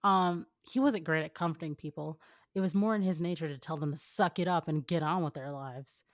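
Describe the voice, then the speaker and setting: Female co-host, slightly low voice, close dry sound, informal reader with wry asides. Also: brisk, no echo. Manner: wry, colloquial commentary. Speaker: co-host of a fan fiction reading show. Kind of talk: casual chat, reading fan fiction aloud.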